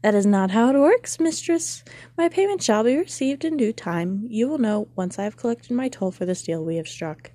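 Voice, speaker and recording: A woman's voice: a little high, animated, normal speed, dark tone, pitched above the performer's own voice. A woman doing a sinister cave demon. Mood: menacing. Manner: menacing, gloating.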